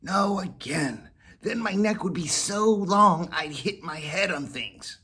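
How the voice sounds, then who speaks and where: A man voicing Whiskers, a small boastful male cat, in a high whiny voice for a storybook reading. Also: lively intonation, takes it slowly, pushed and bright, slightly rough, full character voice.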